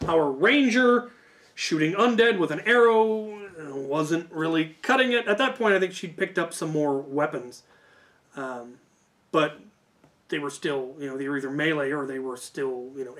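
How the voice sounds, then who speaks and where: A man's voice: on the high side, a bit bright. A man telling stories casually as a video host, in an informal, hedging way that often corrects itself. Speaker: YouTube host. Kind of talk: casual rambling storytelling.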